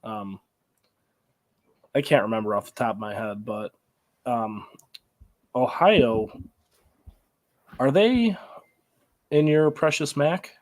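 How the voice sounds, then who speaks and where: A man with an American accent co-hosting a sports show, talking casually in an informal, halting way. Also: medium pitch, darkish tone.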